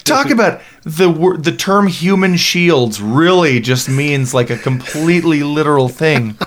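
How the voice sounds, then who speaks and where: A man's voice: fairly high pitch, a little slow. A man in his late twenties hosting a gaming podcast, chatting casually with lots of "you know" and laughter. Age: 20s. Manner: animated, laughs often, many fillers. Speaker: podcast host. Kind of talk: casual chat.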